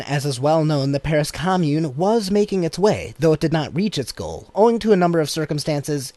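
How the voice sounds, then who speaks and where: A man reading a political text aloud as an audiobook, in a measured, deliberate cadence. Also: medium pitch, a little mellow, no room sound. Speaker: audiobook reader.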